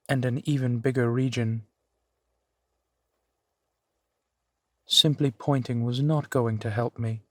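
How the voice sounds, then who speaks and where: A man's voice: mid-range voice, fairly bright tone, no echo. A man voicing a suave, genteel vampire centuries old, speaking unhurriedly and formally to the listener in an intimate audio roleplay. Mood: teasing, amused. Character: suave male vampire, four centuries old, genteel, playfully amused host.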